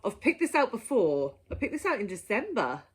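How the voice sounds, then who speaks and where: A woman's voice: fairly high pitch, very animated, fairly quick, breathy edge. A woman talking casually and playfully to viewers as a book vlogger, with an enthusiastic manner. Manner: playful, enthusiastic, given to giggling. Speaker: book vlogger presenting a reading list. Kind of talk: casual chatty monologue to viewers.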